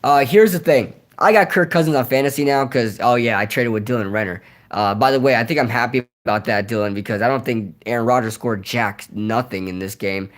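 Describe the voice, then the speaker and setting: Hoarse young man chatting on a livestream. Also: medium pitch, fairly quick, few pauses. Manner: rambling, repeats words, many fillers. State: hoarse, voice nearly gone from yelling. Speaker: streamer hosting a live Q&A. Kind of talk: casual live-stream chat reading comments.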